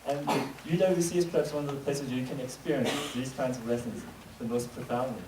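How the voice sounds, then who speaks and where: Young guy from new zealand, calm reflective stage talk, far mic, noisy echoey room. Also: mid-range voice. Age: young adult. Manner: reflective, measured. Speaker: student speaker. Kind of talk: spoken-word monologue on stage. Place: school auditorium stage.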